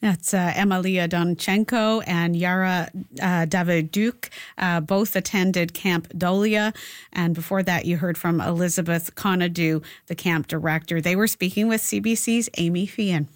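A woman's voice: lowish voice, steady tone, normal speed, no room sound. A woman hosting a radio show, presenting in a conversational style from a studio. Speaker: morning radio show host.

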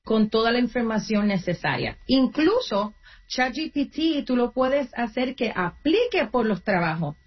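A woman's voice: fairly high pitch, very animated, measured pace, highs cut off. A woman who is a guest on an interview, coaching job seekers and explaining in an earnest way, sometimes restarting her words. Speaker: guest, nonprofit career coach. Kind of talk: interview answers, advice.

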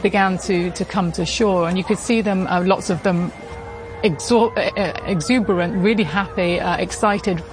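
A woman's voice: lowish voice, normal speed, over music, low-quality recording. A woman, a foreign correspondent explaining events in an interview.

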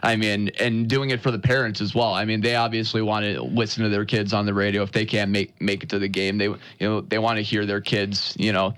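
A man's voice: on the low side, flat delivery, fairly bright tone, smooth, dry recording. A young man, a sports broadcaster, answering interview questions earnestly, stopping and restarting his sentences a lot. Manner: earnest, halting, many restarts. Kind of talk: radio interview guest.

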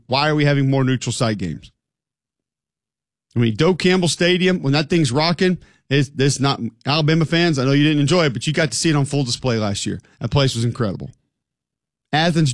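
A man's voice: mid-range voice, no echo, studio quality. A man hosting a sports talk show, speaking conversationally in an opinionated, emphatic way and throwing out rhetorical questions.

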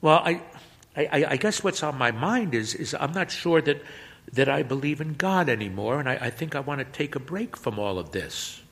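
A man voicing a nervous teenage boy who speaks haltingly and stammers. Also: fairly high pitch, expressive, fairly bright tone, pitched above the performer's own voice. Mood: hesitant. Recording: long hall reverb.

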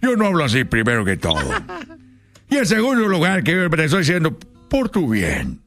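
A man's voice: a little high, huge pitch swings, brisk, a bit bright. A man doing a comedic impression of an old Mexican ranchera singer, sermonizing his brother repetitively in a Mexican accent. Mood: indignant. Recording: music playing behind the voice.

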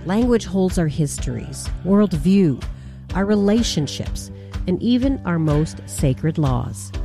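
A woman hosting a radio show, narrating a scripted feature in a measured, deliberate way. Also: on the low side, animated, mellow, faint music behind.

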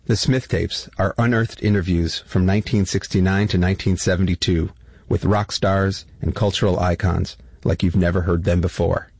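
A man reads a promo in an unhurried way, his voice very deep and husky. The sound is dry and close with no room around it. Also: steady tone, darkish tone, no room sound. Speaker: announcer. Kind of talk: scripted promo read.

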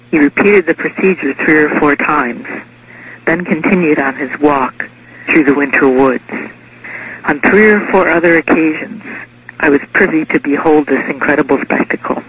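A man's voice: on the high side, fairly bright tone. A man reading out a prepared personal story. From Indiana, United States. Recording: muffled, noisy radio-like recording.

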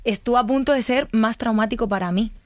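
A woman's voice: a little high, quick pace, no room sound, clean studio sound. A woman from Murcia with a Spanish accent from Spain, a psychologist telling personal stories in casual conversation. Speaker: psychologist, guest on the show.

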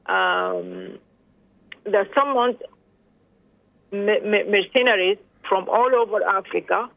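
Middle-aged woman on the phone, gravelly voice, lots of uhs and restarts, dry tinny line. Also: mid-range voice, takes it slowly, dry recording. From Sudan. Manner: hesitant, many fillers and restarts. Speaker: interview guest. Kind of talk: phone interview.

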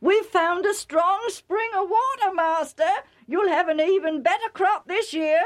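Eager storybook herdsman, calling out in a high, excited voice performed by a woman. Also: slow pace, full character voice. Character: eager male herdsman servant announcing good news. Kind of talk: dramatised Bible storytelling.

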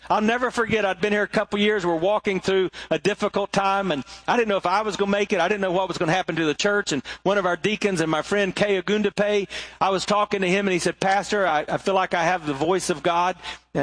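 A middle-aged male pastor preaching a sermon, swinging between rising emphasis and hushed, low moments. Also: no room sound.

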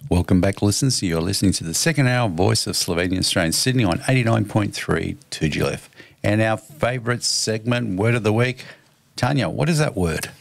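Gravelly low-voiced male radio host. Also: lively intonation, brisk, no room sound. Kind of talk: radio presenting.

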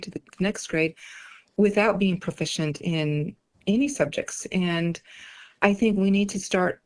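A woman's voice: medium pitch, low-quality recording. A woman from Mexico, Spanish her first language, answering interview questions as a political candidate, emphatic and repeating phrases.

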